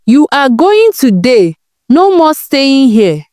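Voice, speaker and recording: A woman plays a spiteful older mother-in-law in a storybook tale. She gloats and scorns with a highly animated, swinging delivery, close and dry. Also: unhurried, dry recording. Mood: triumphant.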